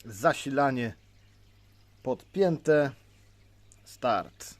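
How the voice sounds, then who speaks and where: Polish guy doing a casual gear demo, kinda halting, explains stuff step by step, normal mid voice. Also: mid-range voice, lively intonation, no room sound. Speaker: CB radio hobbyist presenter. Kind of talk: informal gadget demonstration.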